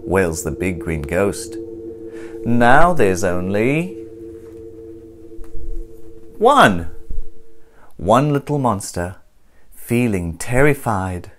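A storybook reader giving an expressive, theatrical read-aloud for children, deliberate and full of suspense. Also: sits low. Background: music and sound effects.

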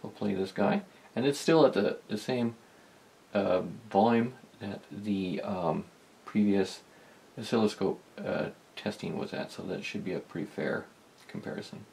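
A man giving a casual hands-on demonstration, talking in a halting, rambling, self-deprecating way. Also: on the low side, gravelly, a little mellow. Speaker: host of a vintage electronics video. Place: indoor electronics workbench.

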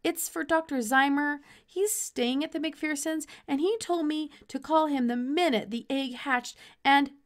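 Casting: excitable young farm boy for a storybook, played by a woman, high voice, earnest, gushing delivery. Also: a little slow, few pauses. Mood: eager, polite. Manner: exclamatory, run-on, earnest delivery.

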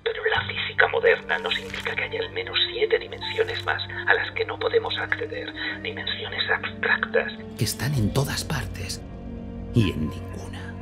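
A man with a Castilian Spanish accent playing an obsessed, cryptic older mathematics professor in a dubbed film, speaking with breathy, intense deliberation. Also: lowish voice, very animated, measured pace, music in the background. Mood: hushed, intense. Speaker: dubbing actor.

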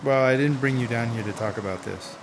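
A man's voice: medium pitch, a little fast, a little hiss or hum, close to an everyday voice, rough recording. A man playing an earnest, persuasive friend in a radio drama, in a casual, hesitant, coaxing way.

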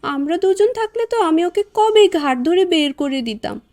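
A woman's voice: extremely high pitch, lively intonation, moderate pace, darkish tone. A woman voicing a spiteful, bossy young sister in a cartoon, scolding with scorn. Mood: scornful. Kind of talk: Bengali cartoon dubbing.

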